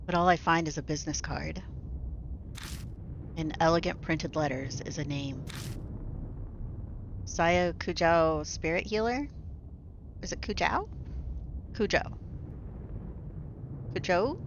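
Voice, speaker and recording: A woman streaming from home talks into her desk mic, reading game narration and chatting with viewers in a loose way, with plenty of "like" and "um." She speaks slowly in a slightly low voice. Her stream audio sounds a bit muffled, with a faint hiss underneath. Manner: casual, soft-spoken, full of "like" and "um" fillers. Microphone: desk microphone.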